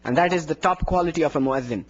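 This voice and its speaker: A young man leads an indoor workshop, explaining technique step by step and demonstrating with his voice. His voice sits high for a man. He speaks into a handheld microphone on a dull, lo-fi video recording. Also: fast talker. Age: young adult. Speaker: adhan instructor. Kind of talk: workshop lecture. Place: indoor room in front of a plain wall. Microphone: handheld microphone plus lapel mic.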